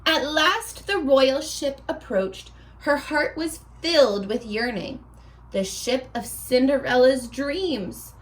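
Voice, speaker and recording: A high-voiced woman reads a storybook to young children as their teacher. Her delivery is unhurried and very animated, with sing-song phrasing and questions that invite the listeners to answer. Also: low-quality recording.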